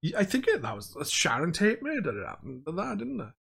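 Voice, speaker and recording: A young man with a Welsh accent talks loosely and rambles, laughing often between thoughts. His voice sits a little high for a man. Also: huge pitch swings, a little fast, vocal fry, a bit bright, slightly rough. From Wales. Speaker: podcast host. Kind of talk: casual chat.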